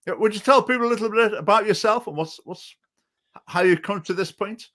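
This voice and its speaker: A man's voice: high voice, fairly quick, fairly bright tone. A man hosting a talk show, speaking hesitantly with many fillers and restarts. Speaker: host. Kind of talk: political talk show chat.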